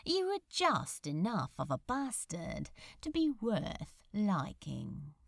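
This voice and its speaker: A woman's voice: medium pitch, huge pitch swings, slow pace, vocal fry, no room sound, clean studio sound, full character voice. A woman voicing a sly, bitter male demon with a drawling, sardonic manner in a story reading. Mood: sly, fond.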